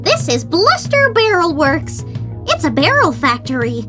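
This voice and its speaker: Woman as bright, perky young girl ape. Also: very high voice, huge pitch swings, a little slow, soft background music, full character voice. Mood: helpful. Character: perky young female ape tour guide, helpful.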